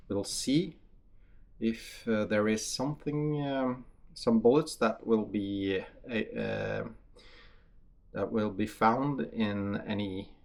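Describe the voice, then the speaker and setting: A man with a Norwegian accent, a native Norwegian speaker, giving a halting, matter-of-fact casual review of hunting bullets. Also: on the low side, creaky. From Norway. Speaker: hunter testing bullets.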